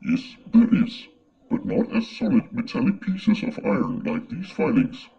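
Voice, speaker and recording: Male explainer presenter, swooping high pitch through a voice changer, creaky, muffled lo-fi sound. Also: very animated, measured pace, warm tone, slight background noise. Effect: pitch-shifting voice changer. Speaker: science video presenter. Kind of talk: scripted explainer narration.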